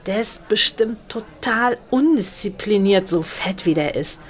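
A woman voicing a picky, excitable young woman who judges possible dates. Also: medium pitch, a little slow, natural voice. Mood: dismissive.

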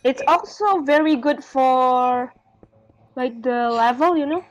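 A woman chats casually as a co-player, answering in short, soft remarks. Her voice sits somewhat high. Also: slow pace, lo-fi sound. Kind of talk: casual gameplay chat.

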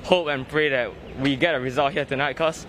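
A man's voice: medium pitch, steady tone, a little fast, a bit bright. A young man, a football fan, speaking earnestly and hopefully into a reporter's mic outdoors near a stadium. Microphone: handheld mic held out by reporter. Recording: noisy street outdoors.

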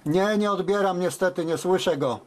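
A man talking as a radio operator in a halting, conversational CB contact. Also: high voice. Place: indoors at a desk with radio sets. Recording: very noisy, radio hiss and clicks.

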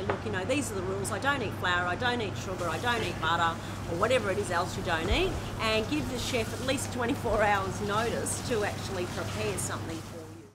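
A woman in her fifties hosting a health show, chatting at length with fillers in a busy cafe kitchen. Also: medium pitch. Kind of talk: casual on-camera chat. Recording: loud background noise.

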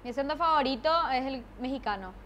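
A young woman answers quick interview questions in a high, bright-pitched voice. She is animated and giggly, speaking on a lapel mic in a dark studio. A crowd is heard clearly in the background. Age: young adult. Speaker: interview guest. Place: dark studio set, seated.

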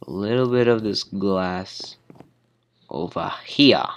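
A teenage boy with a hoarse voice from a cold, rambling casually with plenty of fillers while commenting on his building game. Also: on the low side, slow pace. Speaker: gamer.

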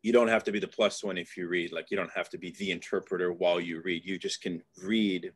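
A man casually leading an online study group, inviting others to read in a relaxed, encouraging way. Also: low voice, quick pace, slightly rough. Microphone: video call.

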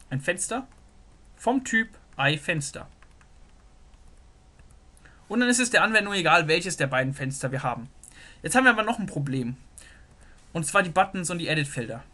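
A man's voice: fairly high pitch, sharp tone. A man teaching a programming lesson over a screen recording. He thinks aloud while he sketches, with many "so" fillers. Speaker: programming tutor.

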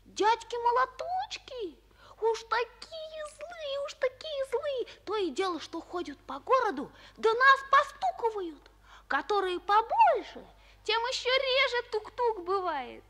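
A woman's voice: very animated, bright, forceful tone, heavily acted. An actress in a 1940s radio play voicing a small, plaintive bell-boy from a music box, with ringing, sing-song speech. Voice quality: high, childlike, ringing. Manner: drawn-out sing-song with chiming syllables. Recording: old 1940s radio recording.

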